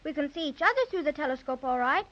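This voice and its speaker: Very high, bright, eager little girl. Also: animated, fast talker. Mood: excited, eager. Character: eager, impatient little girl, full of wonder. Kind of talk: 1930s children's radio serial acting. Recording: dull lo-fi 1930s radio transcription.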